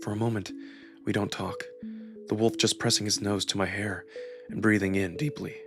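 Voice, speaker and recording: A man's voice: on the low side, little pitch movement, moderate pace, gravelly. A man narrating a first-person story dramatically, as a voice performer reading a visual novel. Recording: music behind the voice.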